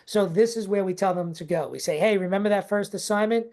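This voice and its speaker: A woman's voice: medium pitch, quick pace, dark tone. A woman leading a teacher workshop over a video call, chatty and informal, checking in with "okay?" and "right?" as she talks. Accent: not native to Texas, unnamed regional accent. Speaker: teacher trainer. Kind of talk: live online teacher workshop.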